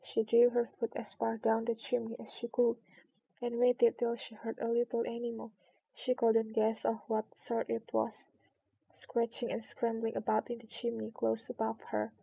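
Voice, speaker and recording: A woman narrating a storybook in an expressive read-aloud style. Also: a little high, moderate pace, creaky, husky, no echo. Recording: dull lo-fi recording.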